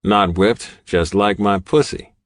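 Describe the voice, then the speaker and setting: A gruff and cocky young biker, played by a male audiobook reader. His voice is low and his talk is blunt, recorded dry and close. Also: slow pace, no room sound.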